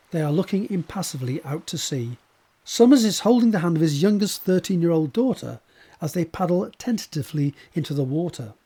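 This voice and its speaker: A man reading scripted scene descriptions as a narrator, in a measured, descriptive way. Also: on the high side, warm tone, breathy edge. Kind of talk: scripted narration of stage directions.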